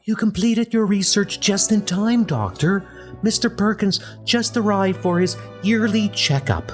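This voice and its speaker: A man puts on a caring female nurse from a comic book drama. The voice is pitched high and soft, solicitous in manner, with music behind. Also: measured pace. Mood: attentive. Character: tender, attentive young female office nurse.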